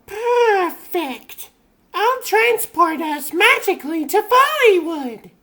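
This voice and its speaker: A woman's voice: very high voice, very animated, slow, a bit bright, full character voice. A woman voicing a breezy, confident animal superheroine in a comic dub, theatrical, with music underneath. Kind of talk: comic dub character acting.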